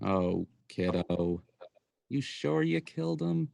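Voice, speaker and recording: Casting: woman as shady uncle, low pitch, lively swings, pleading and incredulous, radio drama. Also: slow, creaky, no room sound, exaggerated voice. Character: exasperated, shady adult uncle, male watch peddler. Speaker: student actor in radio play. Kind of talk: radio play acting.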